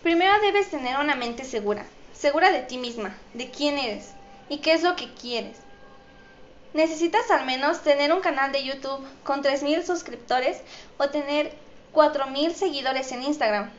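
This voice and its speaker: A woman's voice: high-pitched, normal speed. A woman with a Mexican accent, answering audience questions as an interview guest. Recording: dull, echoey room with faint noise.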